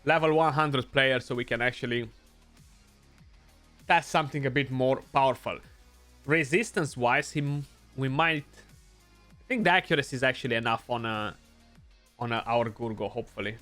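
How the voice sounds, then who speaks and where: A man's voice: mid-range voice, normal speed, pauses often, bright, forceful tone, soft background music. A young man with a Romanian accent giving casual gameplay commentary, thinking aloud and often adding "you know". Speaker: gaming YouTuber.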